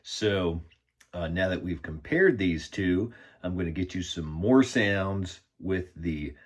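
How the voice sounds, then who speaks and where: A man's voice: low voice, expressive, slow pace. A man giving a casual product review and demo as a guitar gear reviewer.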